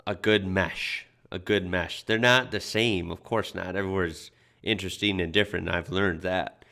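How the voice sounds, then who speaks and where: American man, reaction host, low smooth voice, relaxed rambling delivery with lots of fillers. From United States. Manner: chatty, loose, with frequent fillers and restarts. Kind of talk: casual reaction commentary.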